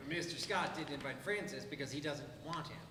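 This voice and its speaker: A man's voice: a little high, fairly quick, background noise, natural voice. A man playing a prim, caustic, disapproving relative in a radio comedy re-creation. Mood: snide, prim. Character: prim, snide, disapproving brother-in-law. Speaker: radio player. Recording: echoey hall, audience crowd behind.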